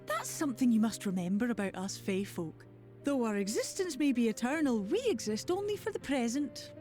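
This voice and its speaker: An actress plays a capricious female fairy from a fantasy game. Her voice is high and swoops with a playful, aloof lilt. She speaks in formal, archaic phrases over clear music. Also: animated, brisk, dark tone, close to an everyday voice. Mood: aloof, airy. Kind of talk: scripted fantasy game acting.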